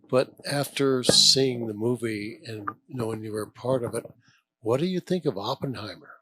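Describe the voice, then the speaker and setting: A man's voice: mid-range voice, sharp tone, breathy edge, poor audio. A man asking casual, unscripted questions as an interviewer.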